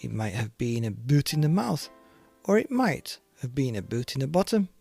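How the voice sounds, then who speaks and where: A man narrating a children's bedtime story in an expressive, theatrical and deliberate way. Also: mid-range voice, creaky, slightly rough, soft background music. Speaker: podcast narrator.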